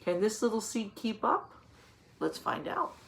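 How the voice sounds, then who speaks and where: Slow-paced woman teaching through a picture book. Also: fairly high pitch, expressive, fairly bright tone, a little hiss or hum. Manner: simple, patient explaining with rhetorical questions. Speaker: teacher reading aloud to young children. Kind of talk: picture-book read-aloud lesson with questions and explanations.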